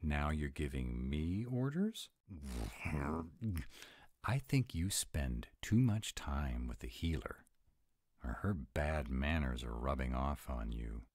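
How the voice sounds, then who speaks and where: Man acting a very deep, grumpy were-dragon. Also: animated, takes it slowly, no room sound, clean studio sound, exaggerated voice. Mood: reluctant, exasperated. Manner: grumbling, exasperated, softening into boyish eagerness. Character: grumpy tsundere male were-dragon, gruff but secretly soft. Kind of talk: audio roleplay acting, addressed to the listener.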